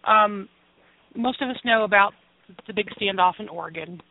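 A male guest speaks in a deliberate way, building up to pointed questions. His voice is notably high for a man. It comes through a dry, narrow, phone-like line. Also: no echo. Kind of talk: casual discussion.

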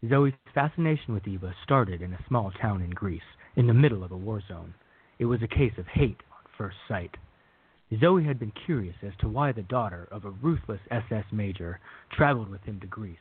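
A man's voice: lowish voice, animated, brisk, slightly rough. A man reading scripted third-person narration for a radio drama. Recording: thin, phone-like band-limited audio.

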